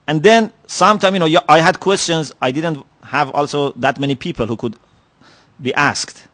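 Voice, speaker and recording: Man w/ giving a lecture, slightly high voice, slow and thoughtful, lots of "you know". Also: fairly bright tone. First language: Persian. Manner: measured, reflective, with many pauses and "you know" fillers. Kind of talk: religious lecture. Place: lecture hall.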